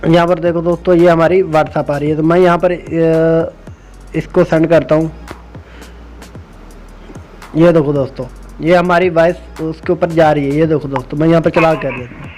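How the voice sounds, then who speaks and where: A high-voiced man hosts an informal, step-by-step app tutorial. His delivery stays quite flat in pitch. Soft music plays behind him. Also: normal speed, long pauses. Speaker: tech tutorial host.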